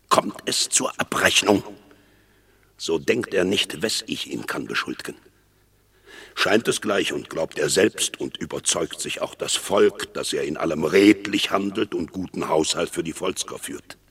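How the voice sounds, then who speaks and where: An actor playing a proud, scheming enemy general in a classical verse radio drama, brooding and measured. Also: male voice, deep voice, gravelly, a bit bright, breathy edge, full character voice.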